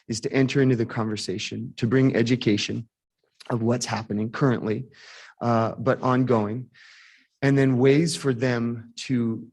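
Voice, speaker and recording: A man's voice: medium pitch, fairly even intonation, moderate pace, a little mellow. A man giving an earnest presentation to a city council, stringing together long lists of phrases. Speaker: housing task force speaker.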